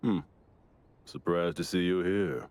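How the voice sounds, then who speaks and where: A man's voice: sits low, slow pace, a little mellow, smooth. An actor voicing a stern, dutiful male lieutenant in an anime game, speaking in a dry, formal, weary way. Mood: dry, exasperated.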